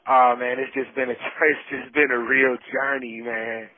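A man's voice: medium pitch, little pitch movement, unhurried. A man giving heartfelt, faith-filled personal testimony in casual vernacular over a prison phone call, repeating himself for emphasis. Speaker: incarcerated storyteller. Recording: muffled, band-limited prison phone call.

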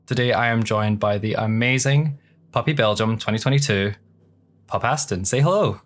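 A man's voice: lowish voice, normal speed. A man hosting a podcast, asking his guest questions in a casual, encouraging way. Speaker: podcast host. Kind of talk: casual interview.

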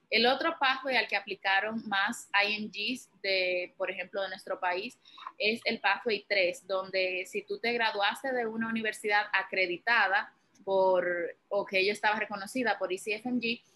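A young woman with a Dominican Spanish accent talks as an interview guest, walking through her points one by one with plenty of fillers. Her voice is bright and forward, and she keeps an easy, informal tone. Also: mid-range voice. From Dominican Republic. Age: young adult. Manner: relaxed, informal, explains step by step with many fillers. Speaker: guest, medical resident. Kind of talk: interview answers.

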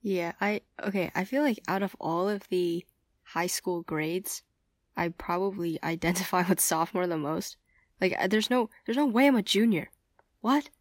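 A woman's voice: fairly low pitch, moderate pace, dry recording. A teenage girl co-hosting a casual podcast with friends, talking in a chatty way with many fillers and restarts. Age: teens. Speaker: podcast co-host. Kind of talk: casual chat among friends.

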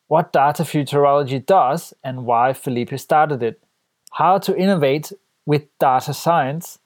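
A man hosting a podcast, reading a measured, clearly worded introduction before interviewing his guest. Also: mid-range voice, no echo. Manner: measured, clearly read introduction, warm and formal. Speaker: podcast host. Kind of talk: scripted intro then interview.

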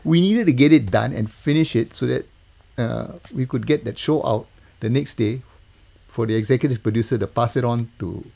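A man's voice: mid-range voice, moderate pace, vocal fry, mellow. An older man, chatting casually as an interview guest and telling stories about his working life. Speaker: guest, TV music composer and mixer.

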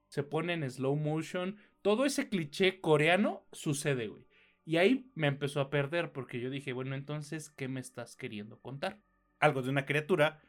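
A man with a Mexican accent ranting casually as a podcast co-host, exasperated and full of slang and swearing. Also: fairly high pitch. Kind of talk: casual review rant.

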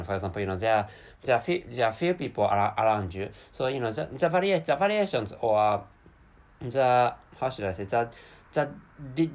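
A man with a Japanese accent, a non-native English speaker hosting a solo spoken episode, who halts and restarts often as he searches for words. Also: mid-range voice. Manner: halting, searches for words, many restarts and fillers. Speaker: podcast host.

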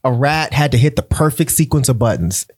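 A man's voice: medium pitch, expressive, brisk, husky. A man in a casual panel chat who talks bluntly, swears freely and states his opinions firmly. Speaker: film review panelist.

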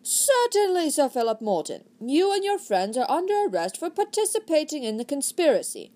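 Woman voicing a booming theatrical commander. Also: big pitch swings, moderate pace, warm tone. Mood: grave. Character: commanding male actor-manager, theatrical and proud.

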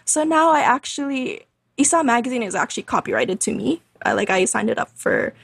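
A young woman in her twenties speaks as a podcast guest, telling her story in a chatty, animated way. Her fairly high voice has a gravelly fry running through it. The sound is close and dry, with no room around it. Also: a little fast, no room sound. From Canada. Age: 20s. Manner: chatty, animated, many fillers and laughs. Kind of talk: casual interview storytelling.

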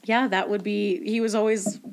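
A woman's voice: mid-range voice, level tone, moderate pace, vocal fry, a little mellow, smooth. A young woman from Canada in casual conversation, laughing often and acting out what people said to her. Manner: laughs often, mimics people in quoted asides. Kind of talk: casual chat.

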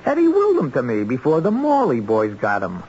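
An actor playing a nasty, suspicious little crook in an old radio mystery, talking in blunt, complaining slang. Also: male voice, medium pitch, huge pitch swings, fairly quick, a little mellow, breathy edge, heavily acted. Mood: proud, gloating. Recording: muffled old radio recording, light noise.

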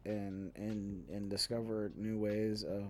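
A man co-hosting a podcast in casual nerdy banter, rambling haltingly and often repeating himself. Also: lowish voice, level tone, darkish tone. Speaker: podcast co-host. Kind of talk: casual nerd-news banter.

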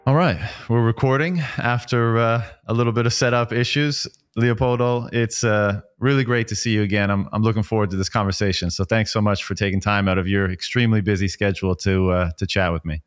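A man's voice: fairly low pitch, steady tone, fairly quick, few pauses, clear, resonant tone. A man hosting a podcast, reading a prepared intro and then chatting politely with his guest, with some fillers. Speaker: podcast host. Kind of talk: scripted intro then casual interview.

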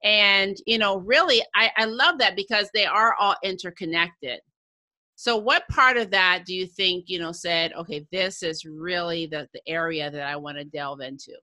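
A woman's voice: on the low side, normal speed, bright, forceful tone, no room sound. An American woman hosting a podcast in a conversational interview style. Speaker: podcast host.

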